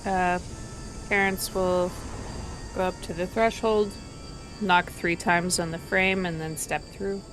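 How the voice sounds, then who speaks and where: A woman's voice: lowish voice, fairly even intonation, slow, pauses often, music in the background. A woman playing a tabletop roleplaying game, casually narrating what her character does.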